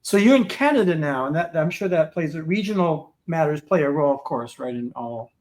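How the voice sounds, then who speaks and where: A high-voiced man hosts a podcast interview. He asks his questions haltingly, restarting and repeating words as he feels his way. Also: animated, darkish tone. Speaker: podcast host. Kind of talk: interview conversation.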